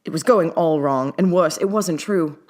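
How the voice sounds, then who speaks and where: A woman's voice: sits low, normal speed, a little mellow. A woman reading her own fiction aloud as scripted story narration.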